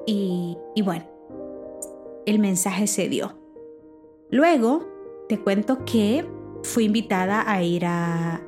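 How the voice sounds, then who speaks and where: A woman who preaches, with Spanish as her first language, telling a personal story in a lively, halting way with many restarts. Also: mid-range voice. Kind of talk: personal testimony, casual talk.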